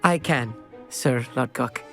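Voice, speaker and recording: A man's voice: mid-range voice, slow, creaky, soft background music. An actor playing an eager, bookish teenage wizard boy in a video game, earnest and precise. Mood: polite, flustered. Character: eager, bookish teenage wizard schoolboy, polite and slightly boastful. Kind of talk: video game cutscene acting.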